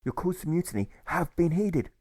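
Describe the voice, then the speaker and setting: A man puts on a genteel suffragist woman from a storybook. She declaims in a raised, high voice with impassioned flair. The sound is dry and close. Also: lively intonation, moderate pace, a little mellow, no room sound. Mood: rousing. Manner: flamboyant, declamatory, speechifying.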